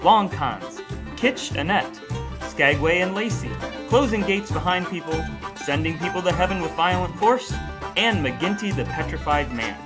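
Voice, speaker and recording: A man co-hosting a podcast with his brother, casually chatting and explaining history at length in an unhurried way. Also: high-pitched, expressive, over music. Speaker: podcast co-host. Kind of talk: casual chat between brothers.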